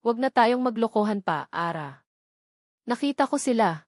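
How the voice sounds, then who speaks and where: A woman's voice: on the high side, animated, moderate pace, dry recording, studio quality, lightly acted. A woman voicing an anguished, betrayed man. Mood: bitter, angry. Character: anguished, angry man doctor, betrayed former lover.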